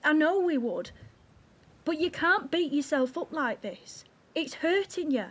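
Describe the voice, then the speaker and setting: A woman playing a devoted, reassuring 1940s wife in an audio drama, soothing and gently teasing. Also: high-pitched, lively intonation, measured pace. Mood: earnest encouragement. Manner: soothing, encouraging.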